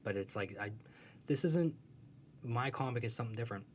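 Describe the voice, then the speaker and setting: A man's voice: medium pitch, lively intonation, a little fast, darkish tone, a little hiss or hum. A man chatting casually as an interview guest, thoughtful and hesitant as he answers. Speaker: comic strip artist, interview guest.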